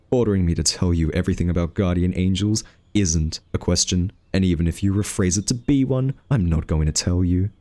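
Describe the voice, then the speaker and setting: A man's voice: deep voice, moderate pace, dark tone, natural voice. A man playing an exasperated, protective guardian angel in an ASMR roleplay, with a scolding, flustered, huffy manner. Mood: grudging, prim. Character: exasperated, fussy, protective male guardian angel, rule-bound and tsundere. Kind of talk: ASMR roleplay audio drama addressed to the listener.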